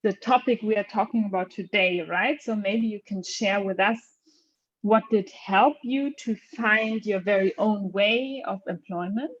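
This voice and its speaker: Female webinar host, medium pitch, informal and encouraging, with slightly dull online audio. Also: unhurried. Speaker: host, moderator. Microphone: video call.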